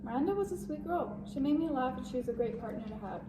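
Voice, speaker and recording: Woman playing earnest romantic high school jock boy, stage monologue, echoey and loud background noise. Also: lively intonation, fast. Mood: tender. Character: sincere, pressured teenage football quarterback, a romantic boy. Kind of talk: amateur dramatic monologue reading. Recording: echoey, distant, very noisy room.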